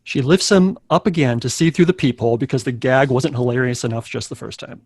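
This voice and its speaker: A man's voice: mid-range voice, a little fast, a little mellow, husky, no room sound, studio quality. A man acting as narrator, reading scripted stage directions for a table read.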